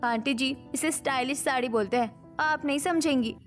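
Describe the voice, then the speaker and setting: A woman plays a young modern daughter-in-law in an animated family story. Her voice is high and theatrical, full of sass and indignant defiance, with music behind it. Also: moderate pace, lightly acted. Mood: haughty, sassy. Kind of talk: scripted Hindi animated story dialogue.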